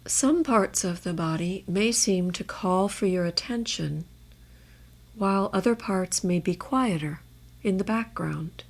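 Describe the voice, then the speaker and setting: A woman guiding a meditation in a measured, gentle way, leaving space between her phrases. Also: medium pitch, low-quality recording. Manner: measured, gentle instruction with long pauses. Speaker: meditation guide. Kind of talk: guided body scan meditation.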